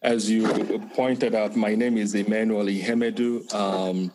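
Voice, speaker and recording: A man from Nigeria with a Nigerian accent, a priest and counseling professor answering interview questions in a measured way with many pauses. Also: lowish voice, steady tone, a little mellow, slight background noise. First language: Igbo. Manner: measured, many pauses and fillers, light laughs.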